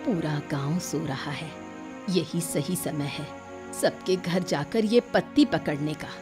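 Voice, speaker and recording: A woman voicing a determined old village mother in an animated moral story, firm and commanding. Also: low voice, slow, over music. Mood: resolute.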